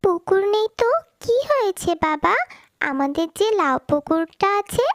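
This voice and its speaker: A woman's voice: extremely high pitch, animated, moderate pace, no echo, studio quality. A woman voicing a headstrong, affectionate young village girl in a cartoon. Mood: eager. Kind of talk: cartoon character dialogue.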